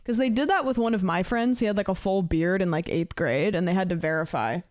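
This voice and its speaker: A woman's voice: medium pitch, a little fast, darkish tone, no echo, studio quality. A woman in her late twenties, a streamer chatting casually with her viewers, rambling with lots of fillers. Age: late 20s.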